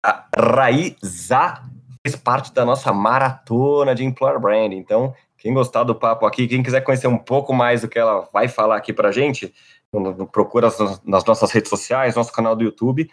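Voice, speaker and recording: A man's voice: mid-range voice, moderate pace. A man with a Brazilian Portuguese accent hosting a podcast alone, upbeat and playful in a casual chat. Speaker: podcast host.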